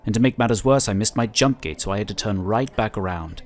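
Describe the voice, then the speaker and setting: A man's voice: fairly low pitch, quick pace, natural voice. A man playing an affable, talkative and polite psionic inquisitor in a sci-fi audio drama. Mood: apologetic, chatty. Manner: talkative, easygoing, explanatory.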